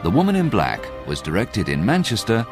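A man reading scripted closing credits as a radio announcer, formal and measured. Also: on the low side, wildly swooping pitch, over music.

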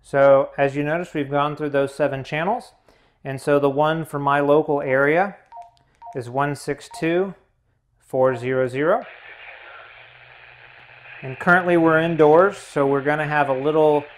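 Higher-pitched man giving step-by-step instructions. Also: fairly even intonation, a little slow, pauses often, poor audio. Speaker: tutorial host. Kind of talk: hands-on instructional narration.

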